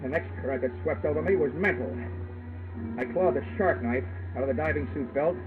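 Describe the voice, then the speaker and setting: Casting: man as hard-boiled, wisecracking investigator, slightly high voice, dry delivery, old radio serial with dull sound. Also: a little fast, natural voice. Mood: wry narrating. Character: wry, hard-boiled male insurance investigator. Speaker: lead actor and narrator. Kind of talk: old-time radio detective narration. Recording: dull vintage radio recording with music.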